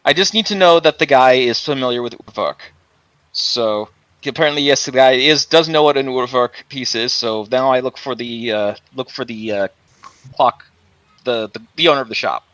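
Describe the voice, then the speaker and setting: A man's voice: medium pitch, normal speed, fairly bright tone, no echo. A man playing a tabletop game over a voice call, talking casually with many fillers and restarts. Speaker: player. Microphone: headset over a voice call.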